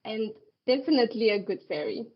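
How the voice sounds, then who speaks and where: A woman in her thirties from Romania, speaking English as a podcast guest, laughing often and pausing with fillers. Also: on the high side, lively intonation, breathy edge, muffled recording. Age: 30s. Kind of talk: casual interview chat.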